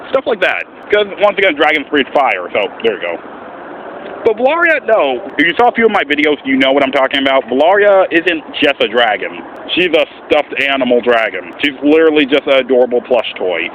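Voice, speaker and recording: A man talking casually and earnestly in a vlog as an amateur voice actor, with an animated, swooping delivery. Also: medium pitch, brisk. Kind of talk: casual vlog explanation with voice demonstrations. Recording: muffled, faint background noise.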